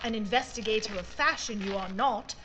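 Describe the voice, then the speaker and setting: A woman's voice: medium pitch, a little fast, a bit bright, soft background music, natural voice, low-quality recording. A woman playing a self-assured aristocratic lady in a wartime audio drama, arch, droll and unruffled. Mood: arch, teasing.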